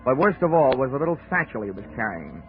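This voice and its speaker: An actor playing a cocky, wisecracking insurance investigator who narrates wryly in a 1940s radio drama. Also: male voice, medium pitch, sing-song, fast talker, natural voice. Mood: narrating. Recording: tinny old 1940s radio recording with music.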